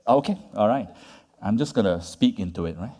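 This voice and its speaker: Lively man lecturing in a reverberant hall. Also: on the low side, fairly quick. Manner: conversational, tags points with "right?" and "yeah?". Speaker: conference speaker. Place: conference hall. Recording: long reverb of a large hall.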